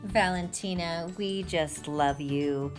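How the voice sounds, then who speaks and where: A woman hosting friendly interviews, warmly repeating back what her guests tell her. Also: on the low side, slow, over music. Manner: warm, affirming, repeats back what she hears. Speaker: host, interviewer.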